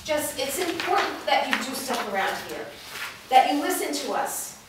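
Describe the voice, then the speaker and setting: A woman's voice: high voice, very animated, moderate pace. A woman playing a worried, easily wounded mother of a teenager in a staged reading, with the echo of a room around her. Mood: firm, pleading. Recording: echoey room, distant mic, clear noise.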